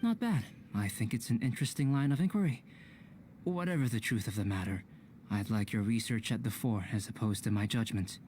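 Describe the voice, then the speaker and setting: Measured, scholarly young alchemist played by a man. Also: fairly low pitch, animated, darkish tone, slight background noise, natural voice. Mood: politely curious. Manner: measured, precise, formal and explanatory. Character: calm, bookish young male alchemist, politely curious and detached. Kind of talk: scripted video game cutscene acting.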